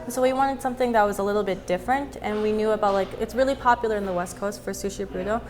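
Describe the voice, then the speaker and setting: A woman's voice: medium pitch, very fast, darkish tone. A woman in her twenties from North Dakota, a restaurant co-owner, speaking easily in an interview. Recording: crowd noise behind.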